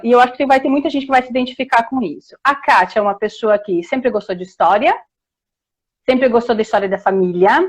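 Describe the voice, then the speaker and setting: A middle-aged woman from Rio Grande do Sul with a southern Brazilian accent, telling her story in a warm, chatty way over a video call, full of restarts and tag questions. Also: medium pitch. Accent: southern Brazilian Portuguese, Rio Grande do Sul. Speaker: historian and author guest. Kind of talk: casual interview storytelling.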